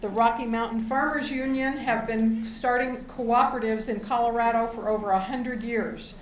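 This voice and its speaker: A middle-aged woman giving a loud, grateful, enthusiastic speech through a microphone to open a membership meeting. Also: on the high side, steady tone, normal speed. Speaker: outgoing board member opening a meeting. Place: event hall with an audience. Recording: echoey hall with a faint crowd.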